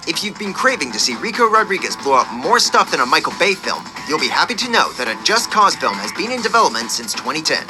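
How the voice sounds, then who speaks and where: Animated male countdown narrator over loud music. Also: a little high, low-quality recording. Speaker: video narrator.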